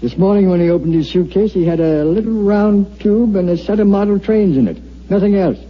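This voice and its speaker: An actor playing a seasoned, stubborn newspaper editor in an old-time radio drama, brusque and wry. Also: male voice, high voice, a little fast, highs cut off. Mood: intrigued.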